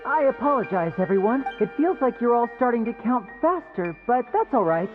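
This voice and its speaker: A woman's voice: fairly high pitch, very animated, fairly quick. Muffled, polished young male magician. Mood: apologetic showmanship. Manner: polite, composed, theatrical. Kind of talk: video game voice acting. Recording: muffled, music behind.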